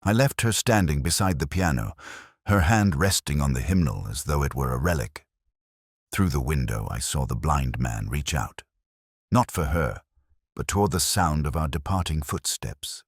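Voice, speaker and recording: A man delivering scripted literary detective narration in a measured, wry, reflective and formal manner. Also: a bass voice, expressive, breathy edge, no room sound, clean studio sound. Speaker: first-person narrator of a detective story.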